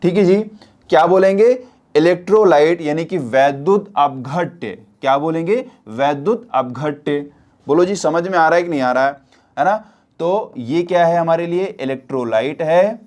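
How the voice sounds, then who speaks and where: A man's voice: on the high side, normal speed, a little mellow. A man teaching an exam-prep science lesson, repeating points and often checking with questions that students follow. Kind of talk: spoken classroom lesson.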